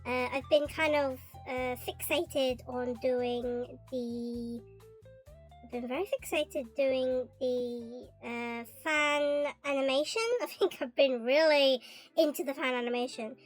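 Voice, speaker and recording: A young woman with a high, light voice chats casually while streaming her art, her throat still slightly sore from a recent cough. She rambles and hesitates, with lots of ums and restarts. Soft music plays faintly underneath. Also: steady tone, slow. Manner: rambling and hesitant, with many fillers. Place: bedroom at home.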